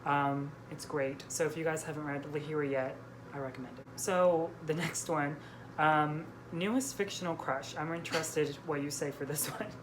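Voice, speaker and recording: A woman's voice: deep voice, fairly even intonation, normal speed. A woman hosting a casual book vlog, chatting informally and peppering her sentences with "like". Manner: frequent "like" as filler. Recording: noisy room background.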